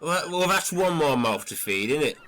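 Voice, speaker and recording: A man plays a blunt castaway in a comedy audio play, exasperated and sardonic. His sharp voice swings widely in pitch. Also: animated, normal speed. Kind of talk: comedy audio drama acting.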